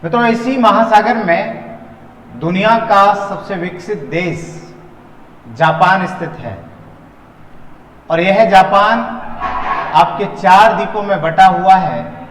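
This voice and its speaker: A man's voice: high voice, slow, long pauses, a bit bright, a little hiss or hum. A man teaching in an exam-prep lecture style, repeating phrases and asking questions that he answers himself. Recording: echoey hall-like room reverb.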